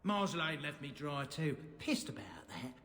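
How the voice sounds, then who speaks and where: A man's voice: a little high, big pitch swings, moderate pace, a bit bright. Cheeky peddler man, reverberant. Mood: disgruntled. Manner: casual, slangy. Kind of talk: dramatic video game dialogue. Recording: hall reverb.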